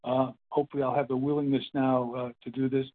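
A man's voice: mid-range voice, little pitch movement. Older man in his eighties, rambling phone-in testimony, tinny line audio. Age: 80s. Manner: rambling, halting, with many fillers and restarts. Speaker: meeting speaker sharing his story. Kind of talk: casual spoken testimony.

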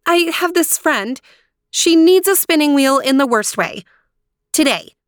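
A woman voicing an earnest, headstrong sixteen-year-old princess in a storybook, with an emphatic, expressive delivery. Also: high-pitched, unhurried, no echo, studio quality, pitched above the performer's own voice. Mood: eager, pleading. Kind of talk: storybook character voice.

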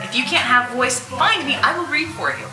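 A woman's voice: high voice, big pitch swings, normal speed, music in the background. A woman guest on an outdoor panel, talking casually and acting out bits of her stories. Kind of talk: casual live panel chat. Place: outdoor patio at a convention. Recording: roomy, distant outdoor live panel recording.

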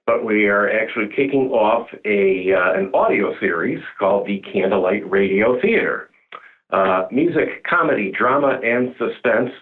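A man's voice: on the low side, big pitch swings, normal speed. A middle-aged man chatting casually as an interview guest, explaining things in a rambling, conversational way. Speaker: community theater director, interview guest. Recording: muffled narrowband phone-like audio.

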